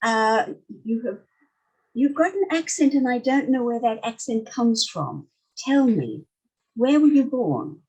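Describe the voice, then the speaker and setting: Older woman host with a husky voice. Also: fairly high pitch, animated, unhurried, darkish tone, poor audio. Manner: effusive, full of praise. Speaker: talk show host. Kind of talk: interviewing a guest.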